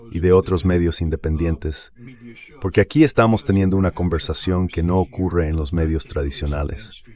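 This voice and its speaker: A man's voice: deep voice, a little mellow. A man speaking as a political guest commentator, explaining things in a blunt, emphatic way in a translated voice-over.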